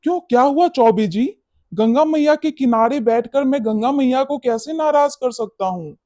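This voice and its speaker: Guy doing a meek gullible sweet shop man in a kids cartoon, high voice, dry sound. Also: normal speed, dark tone, dry recording. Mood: worried. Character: timid, gullible, respectful village sweet-seller. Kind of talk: animated bedtime story character acting.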